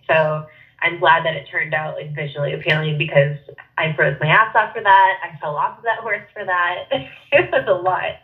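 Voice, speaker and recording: A young woman guest with a head cold and a nasal voice, chatting bubbly and laughing easily over a phone call. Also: sits low. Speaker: podcast guest, wrestler. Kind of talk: casual chat. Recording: tinny phone line.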